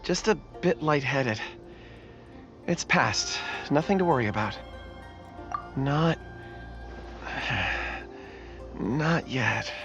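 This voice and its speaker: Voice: mid-range voice, expressive, drawn-out words, pauses often. An actor voicing a weary, lightheaded companion who speaks hesitantly with sighs. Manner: hesitant, sighing, drawn out. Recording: dull game audio under music.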